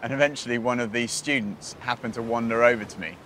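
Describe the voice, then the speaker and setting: Casting: British male presenter, thirties, earnest faith storytelling, measured phrases and pauses, music underneath. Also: medium pitch, fairly bright tone, slight background noise, rough recording. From Britain. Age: 30s.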